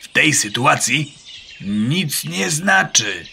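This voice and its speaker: An actor playing an anxious, loyal male farmyard bird in a children's radio play, earnest and cautious, with a gravelly, strained voice. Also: on the high side, sing-song, slow pace, pushed and bright, slight background noise, heavily acted. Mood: worried.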